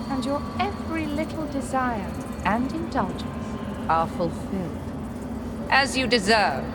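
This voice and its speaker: A woman playing a smug, imperious leader of nobles in a fantasy game, delivering haughty, measured oratory. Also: fairly high pitch, very noisy. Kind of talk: theatrical speech in a video game.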